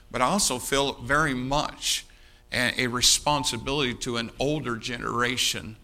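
A man's voice: mid-range voice, bright, forceful tone. A man in his fifties preaching a sermon to a congregation, emphatic, repeating key phrases and addressing his listeners directly. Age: 50s. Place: speaking live before an audience.

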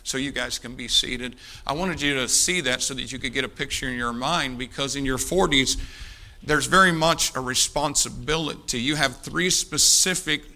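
A man in his fifties preaches with a very bright, forceful voice at a medium pitch. He speaks straight to his listeners and drives points home by repeating phrases with heavy emphasis. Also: vocal fry. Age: 50s. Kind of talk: sermon, exhorting a congregation. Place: speaking live before an audience.

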